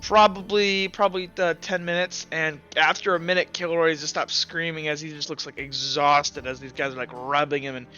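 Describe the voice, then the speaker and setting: A man running a tabletop roleplaying game as game master, improvising narration in a casual, halting, sweary way. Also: fairly high pitch, steady tone, pushed and bright, smooth, muffled recording, faint music behind.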